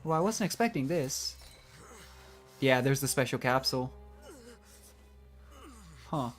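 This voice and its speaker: A man's voice: medium pitch, lively intonation, a little slow. A man giving casual, informal live reactions as a game streamer, muttering along to the story. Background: game music.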